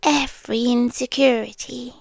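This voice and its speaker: A British woman playing a possessive, adoring vampire girlfriend in an ASMR roleplay, speaking softly and breathily and often slipping into a whisper. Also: fairly high pitch, steady tone, normal speed, dry recording. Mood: obsessive, adoring. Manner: soft, breathy, often dropping into whispers, coaxing. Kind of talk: ASMR roleplay, slow intimate delivery with whispering.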